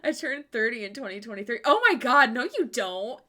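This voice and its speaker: A woman's voice: a little high, animated, a little fast, pushed and bright. A woman in her twenties chatting casually on a livestream, laughing often and joking at her own expense. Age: 20s. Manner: giggly, self-deprecating, laughs often while talking.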